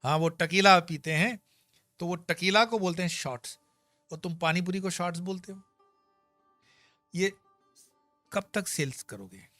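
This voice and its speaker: A man teaching scripture in a sermon-style discourse, swinging between loud and soft as he explains with everyday examples. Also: high voice, unhurried, pauses often, no room sound, soft background music. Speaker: spiritual teacher. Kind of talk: Gita discourse.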